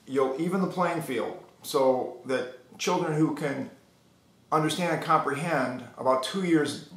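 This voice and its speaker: A man's voice: medium pitch, a bit bright. A man presenting a reading program in an explanatory, anecdotal instructional talk. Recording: echoey room.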